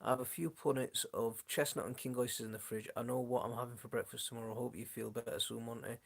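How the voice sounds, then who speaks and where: Man, gravelly, mid-pitched, hoarse, rambling casual live chat, phone mic. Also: steady tone, fast talker. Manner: rambling, many restarts, chatty and friendly toward viewers. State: voice going hoarse. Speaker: gardening channel host. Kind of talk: casual live-stream chat answering viewers. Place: his home, indoors.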